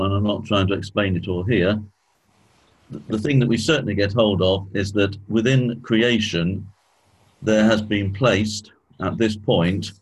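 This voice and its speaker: A man with a croaky voice, clearing his throat often as he leads a church study session, slowing down on key points. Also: fairly low pitch, low-quality recording. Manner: measured, slows down on key points. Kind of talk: spoken teaching talk.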